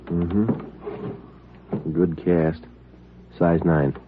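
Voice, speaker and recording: An actor playing a terse, level-headed police detective who narrates in a clipped, deadpan way in an old radio drama. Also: male voice, sits low, drawn-out words, noisy background. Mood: matter-of-fact. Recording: narrow, tinny old radio recording with music.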